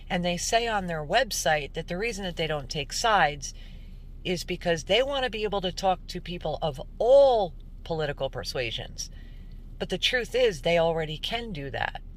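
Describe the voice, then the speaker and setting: A woman giving earnest, emphatic religious commentary as a host, in deliberate narration. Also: lowish voice, lively intonation. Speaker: host introducing a recorded call.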